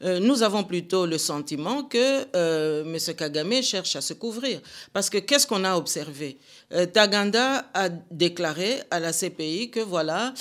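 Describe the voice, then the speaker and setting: An older woman, a guest answering radio interview questions in a firm, indignant, emphatic way from a studio. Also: fairly low pitch. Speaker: political guest.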